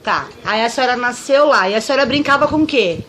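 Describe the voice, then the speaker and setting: A woman asks gentle, prompting questions in a casual interview. Her voice sits slightly high. Clear background noise hums under the recording. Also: lively intonation, fast talker. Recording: noisy recording.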